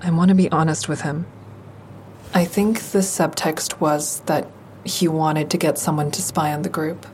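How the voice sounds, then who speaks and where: Guarded, wry female lead of a fiction podcast, lower register, intimate first-person telling, some background rumble. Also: steady tone, a little slow, a little mellow. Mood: measured, guarded. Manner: confiding, dry, introspective. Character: guarded, wry young woman narrating her troubled romance. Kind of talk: intimate first-person audio drama narration and dialogue.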